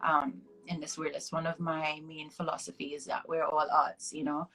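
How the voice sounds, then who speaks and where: Interview guest: Trinidadian woman, twenties, slightly low voice, relaxed chatty talk. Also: normal speed, poor audio. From Trinidad and Tobago. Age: 20s. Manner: chatty, reflective, frequent ums and laughs. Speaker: interview guest, hair artist.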